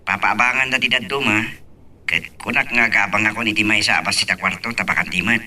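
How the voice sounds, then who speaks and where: A man's voice: on the low side, steady tone, quick pace, bright, forceful tone, recorded from a distance. A man playing a dutiful, reassuring grown son in an audio love drama, speaking conversationally with an Ilocano accent. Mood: respectful, easygoing. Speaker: voice actor.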